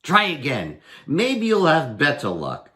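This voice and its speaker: A man's voice: a little high, huge pitch swings, a little slow, sharp tone, pitched above the performer's own voice, exaggerated voice. A man voicing a wily, playful folk hero who coaxes with mock innocence in a storybook reading. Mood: mock sympathy.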